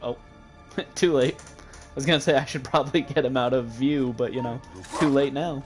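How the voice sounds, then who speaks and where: Giggly Midwestern American streamer. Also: male voice, medium pitch, fairly even intonation, moderate pace, soft background music. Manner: playful, giggly, joking with chat. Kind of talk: casual gameplay commentary.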